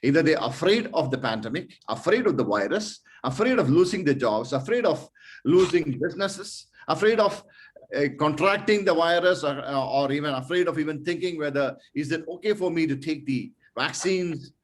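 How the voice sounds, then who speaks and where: A man's voice: a little high, animated, moderate pace. A man chairing an online speaking club meeting, hesitant with fillers, then giving an earnest motivational talk full of rhetorical questions.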